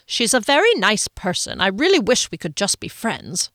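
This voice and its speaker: A woman voicing a timid, fussy grown man who whimpers and frets in a high, whining voice. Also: huge pitch swings, normal speed, fairly bright tone, dry recording, studio quality. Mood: perked up.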